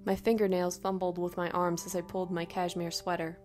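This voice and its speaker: A woman narrating a scripted first-person horror story in a dramatic, expressive way. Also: fairly low pitch, brisk, faint music behind.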